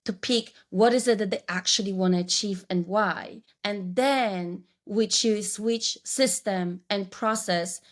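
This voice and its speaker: A woman's voice: mid-range voice, unhurried, hardly any pauses. A woman in her forties with a Polish accent, a guest on a podcast interview, telling her career story reflectively, with frequent restarts. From Poland. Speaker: guest, branding coach.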